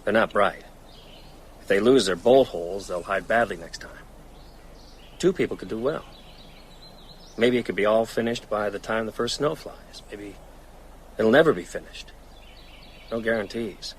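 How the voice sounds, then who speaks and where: Man playing a low, haunted vampire hunter. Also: moderate pace, pauses often, slight background noise, close to an everyday voice. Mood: weary resolve. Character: weary, haunted man returning to hunt vampires. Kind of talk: radio drama acting.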